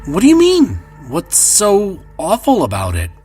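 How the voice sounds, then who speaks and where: A young businessman on a journey in an audio drama. His voice moves widely in pitch, earnest and questioning with growing unease. Music sits faintly behind him. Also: huge pitch swings, unhurried, warm tone, full character voice. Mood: puzzled. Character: young businessman traveler, polite but increasingly alarmed.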